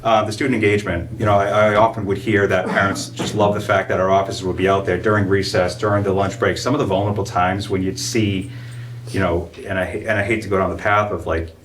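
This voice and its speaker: A police chief updating a town board in a meeting room, talking loosely with many fillers. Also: male voice, low-pitched, level tone, fast, fairly bright tone. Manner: casual, filler-heavy, loose and earnest. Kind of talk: public meeting presentation. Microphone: room microphone from a distance. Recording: distant room mic, faint noise.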